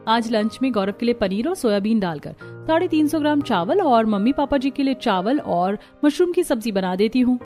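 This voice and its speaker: A woman's voice: a little high, lively intonation, normal speed, hardly any pauses, a little mellow, soft background music, natural voice. A woman voicing a devoted young wife in a cartoon story, sweet and attentive.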